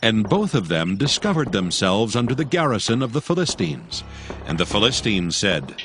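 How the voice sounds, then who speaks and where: Low-pitched male narrator over music. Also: very animated, normal speed, low-quality recording. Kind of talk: scripted dramatic Bible narration.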